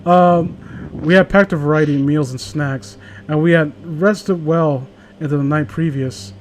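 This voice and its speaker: Higher-pitched man, sarcastic and profane. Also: unhurried, slight background noise. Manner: sarcastic, profane, interrupts own reading with asides. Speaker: host reading a horror story aloud with a friend. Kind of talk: casual joking read-aloud commentary.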